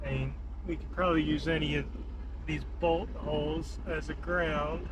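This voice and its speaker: A man's voice: a little high, steady tone, moderate pace. A man giving a casual, unscripted hands-on installation walkthrough, thinking aloud informally as he works. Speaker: vehicle installer presenting a how-to video. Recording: noisy, with clear background vehicle noise.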